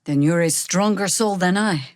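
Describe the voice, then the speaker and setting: A woman voicing a weary, sardonic veteran druid warrior in a fantasy video game, speaking in a dry, measured way. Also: fairly low pitch, no room sound, close to an everyday voice. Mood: grave, resolute.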